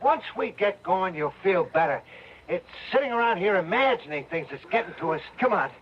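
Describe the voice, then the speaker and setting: A man's voice: sits high, lively intonation, a little fast. A man playing an injured, dogged fugitive in a radio drama, speaking in an urgent, strained way. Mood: urgent, coaxing. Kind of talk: old-time radio drama acting. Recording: muffled, faintly noisy old radio.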